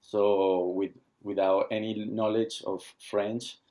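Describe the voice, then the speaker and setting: A man, speaking haltingly as he searches for words while being interviewed about founding his watch brand. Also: fairly low pitch, flat delivery, warm tone. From Argentina. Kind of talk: casual interview.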